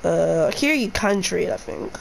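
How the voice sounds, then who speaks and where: A woman's voice: on the low side, lively intonation, a little slow, gravelly, over a noisy crowd. A woman chatting playfully while playing a game, full of sing-song asides. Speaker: gameplay streamer. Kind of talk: casual chat while playing.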